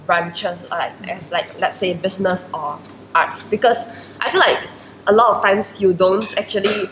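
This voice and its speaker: A woman's voice: medium pitch, a bit bright. A woman in her twenties whose first language is Chinese, speaking Malaysian English, answering interview questions in a reflective way and filling her sentences with "like". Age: 20s. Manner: reflective, many "like" fillers. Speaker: university student guest. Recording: roomy echo with faint noise.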